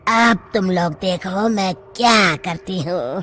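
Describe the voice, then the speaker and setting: A woman's voice: fairly low pitch, slow pace, a bit bright. A woman voicing a menacing, vengeful witch ghost in a cartoon story, gloating as she threatens. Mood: gloating menace. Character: menacing vengeful female witch ghost haunting village weddings. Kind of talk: Hindi cartoon story voice acting.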